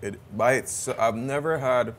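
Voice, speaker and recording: A Jamaican man chatting casually as a travel vlogger, relaxed and talkative with some stammered restarts. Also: medium pitch, takes it slowly, slight background noise. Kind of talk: casual travel vlog chat.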